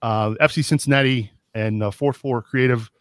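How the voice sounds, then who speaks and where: A man's voice: medium pitch, fairly even intonation, normal speed, clear, resonant tone, no room sound, clean studio sound. Upbeat male podcast host. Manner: upbeat host reading segment transitions. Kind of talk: casual chat and show segments.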